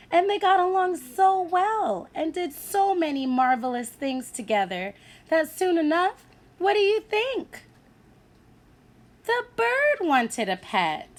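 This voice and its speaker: A woman teacher reading a picture book aloud to children, animated and asking her listeners questions. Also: high voice.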